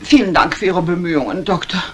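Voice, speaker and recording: An actress playing an excitable, fearful housekeeper with a plaintive, melodramatic delivery in vintage thriller style. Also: female voice, lowish voice, fast talker, lightly acted. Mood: relieved. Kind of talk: 1950s German dubbed thriller acting. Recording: faintly noisy vintage soundtrack.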